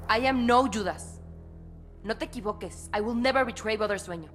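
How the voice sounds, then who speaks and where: Actress as solemn, fervent cult priestess. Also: female voice, fairly high pitch, brisk, fairly bright tone, soft background music, close to an everyday voice. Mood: solemn defiance. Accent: Latin American Spanish. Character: devout, defiant cartel cult priestess of Santa Muerte. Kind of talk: dramatic video game cutscene acting.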